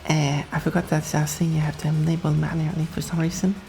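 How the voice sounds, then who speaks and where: Low-voiced Swedish woman streaming a racing game. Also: level tone, fast talker, creaky, dark tone, faint music behind. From Sweden. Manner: chatty, laughs often, talks to chat while racing. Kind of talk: casual gameplay commentary.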